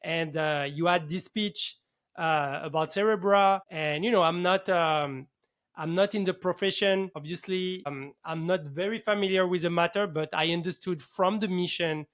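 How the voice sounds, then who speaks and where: A man's voice: sits high, normal speed, fairly bright tone. A man hosting a show in a casual, enthusiastic interview style. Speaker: podcast host.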